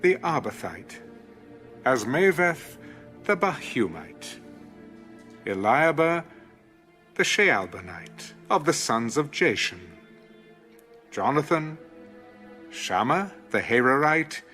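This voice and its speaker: A man narrating scripture in a solemn, deliberate, dramatic style. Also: on the high side, very animated, sharp tone, faint music behind. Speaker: audio Bible narrator.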